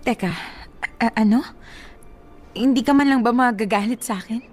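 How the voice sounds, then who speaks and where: A woman's voice: on the high side, moderate pace, natural voice. An actress playing a nervous young woman girlfriend in a radio drama, defensive and uneasy. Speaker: supporting character.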